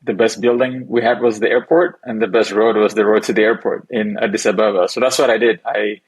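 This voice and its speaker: A young adult man from Ethiopia, a founder and investor, giving measured, thoughtful interview answers with the odd restart. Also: on the low side, fairly even intonation, husky, rough recording.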